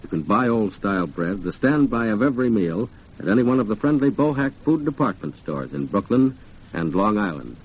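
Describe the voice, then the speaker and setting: A dull old radio recording of an American man with a low pitch and a slightly rough, husky tone. He speaks as a 1940s announcer and co-host. His phrasing is polished and measured. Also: big pitch swings. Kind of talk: 1940s radio announcing and scripted sponsor talk.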